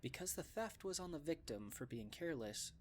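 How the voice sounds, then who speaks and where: A man's voice: on the high side, animated, brisk. A man narrating a scripted fantasy story for an anime fan dub.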